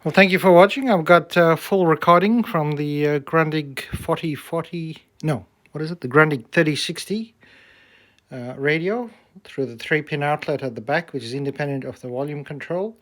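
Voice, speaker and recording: A man's voice: fairly high pitch, moderate pace, fairly bright tone. A man giving a casual, unscripted equipment demonstration, hesitating and thinking aloud as he goes. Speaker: vintage audio hobbyist demonstrating equipment.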